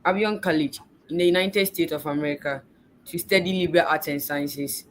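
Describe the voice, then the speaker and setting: A woman from Ghana with a Ghanaian accent and a deep voice, answering mock visa interview questions politely and with some hesitation over a laptop call. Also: fairly quick, slight background noise. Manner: polite, hesitant, says please often. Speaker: student visa applicant. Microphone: video call on a laptop.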